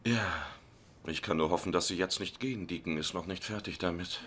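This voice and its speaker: A man's voice: sits low, a little fast, very noisy, natural voice. A man playing a self-centred, grumbling, sarcastic husband in a German-dubbed sitcom. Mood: whining. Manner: sarcastic, exaggerated.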